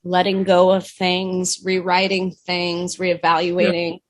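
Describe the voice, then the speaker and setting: A woman's voice: lowish voice, monotone, takes it slowly. A young adult woman, a fashion and food stylist born in the Dominican Republic, talking candidly and reflectively in an interview, full of "like" fillers.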